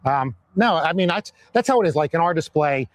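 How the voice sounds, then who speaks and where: A hoarse man hosting a live-stream interview outdoors, upbeat and fond of repeating phrases for emphasis. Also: fairly high pitch, lively intonation, brisk. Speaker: host. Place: outdoors at a Christmas light display by a road.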